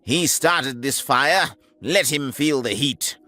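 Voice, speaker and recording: A man's voice: mid-range voice, takes it slowly, bright, forceful tone, no room sound, voice pushed up. A man voicing a stern army major who gives clipped, commanding orders in a raised voice.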